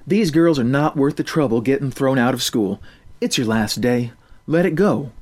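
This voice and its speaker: A man's voice: medium pitch, moderate pace, a little mellow, natural voice. A man voicing a kindly school lunch lady who speaks in a soothing, motherly way. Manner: soothing, calls people darling and honey.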